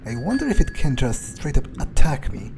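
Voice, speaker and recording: A man's voice: mid-range voice, huge pitch swings, normal speed, noisy background. A man doing casual, foul-mouthed in-character game commentary, muttering his thoughts aloud as he plays. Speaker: gaming streamer, let's player. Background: video game music.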